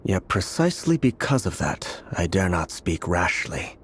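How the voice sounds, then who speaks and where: An actor playing a calm, wise, guarded prophet in a fantasy video game, speaking in a grave, measured and formal manner. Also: male voice, deep voice. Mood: guarded, frank. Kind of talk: dramatic video game voice acting. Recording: background music under the voice.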